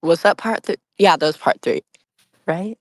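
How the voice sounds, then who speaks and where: A man's voice: fairly high pitch, expressive, normal speed, no room sound, studio quality. A man joking and chatting casually as a panelist on a group podcast.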